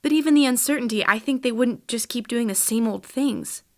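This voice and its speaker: A woman's voice: fairly high pitch, a little fast, lightly acted. A woman voicing a curious, impatient young girl who eagerly questions a storyteller.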